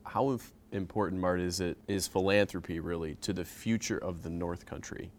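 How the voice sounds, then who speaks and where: A man with a very low, deep voice asks questions as an interviewer. His questions are long and measured, building slowly toward the point. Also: steady tone.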